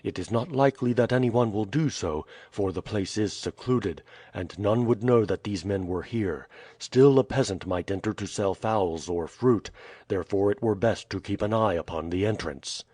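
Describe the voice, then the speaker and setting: A man voicing a prudent, loyal male guardian in an audiobook, speaking in a calm, measured way. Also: lowish voice, husky, dry recording, studio quality. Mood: steady.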